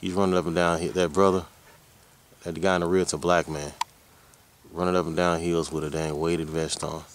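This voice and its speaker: A man of about forty, rambling casually in a folksy, colloquial way as he vlogs outdoors. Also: low voice. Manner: folksy, colloquial, drifting into asides to his dogs. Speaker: vlogger. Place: outdoors on a hike. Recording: noisy outdoor ambience.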